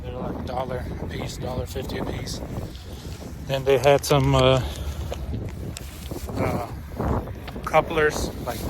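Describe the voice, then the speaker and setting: A man in his thirties or forties talking casually to his phone as a trucker vlogger, in a halting, matter-of-fact way. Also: medium pitch. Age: 30s to 40s. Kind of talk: casual vlog talk. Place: truck cab and open parking lots outdoors. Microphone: phone at arm's length. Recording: noisy outdoor and truck-cab phone recording.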